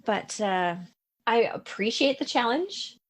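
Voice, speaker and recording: Husky, animated woman in casual chat. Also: fairly high pitch, slow pace. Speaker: podcast co-host.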